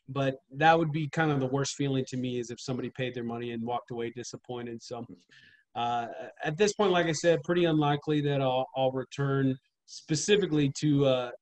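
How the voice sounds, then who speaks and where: A man's voice: mid-range voice, poor audio. Earnest man in his thirties on video call. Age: 30s. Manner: measured, earnest, steady explaining. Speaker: wrestling trainer and promoter. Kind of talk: casual interview over video call. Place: small indoor room at home. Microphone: webcam on video call.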